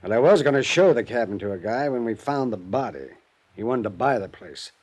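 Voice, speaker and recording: An actor playing a glum, plainspoken cabin owner in a 1950s radio drama. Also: male voice, medium pitch, lively intonation, brisk, darkish tone, slightly rough.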